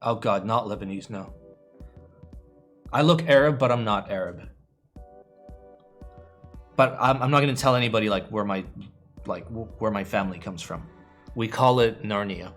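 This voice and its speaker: A man's voice: fairly low pitch, normal speed, long pauses, a bit bright, faint music behind. A man in young adulthood, casually chatting with his live-stream viewers, rambling and swearing freely with playful groans. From United States. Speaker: keyboard streamer.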